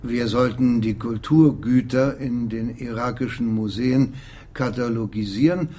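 An actor playing a wary, bitter archaeology professor in a radio drama, husky, measured and formal. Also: male voice, medium pitch, dark tone, natural voice.

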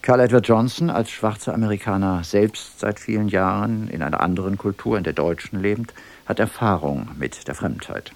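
A man's voice: lowish voice, moderate pace, creaky, hardly any pauses. A man announcing on the radio, reading a scripted introduction in a formal, carefully phrased way.

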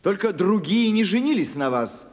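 An actor playing a stiff, insistent English theatre impresario in a radio play, speaking formally and haltingly. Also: male voice, sits high, very animated, darkish tone. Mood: stern. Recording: reverberant hall sound, music behind.

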